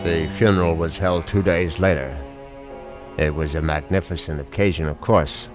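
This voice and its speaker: A man's voice: sits low, steady tone, normal speed, natural voice. An actor playing a callous, falsely soothing husband who narrates his crime in a radio mystery. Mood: smug narrating. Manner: smug, falsely soothing, flustered when pressed. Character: smooth, callous husband who poisoned his rich wife. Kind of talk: radio mystery acting with first-person narration. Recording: radio drama with music underneath.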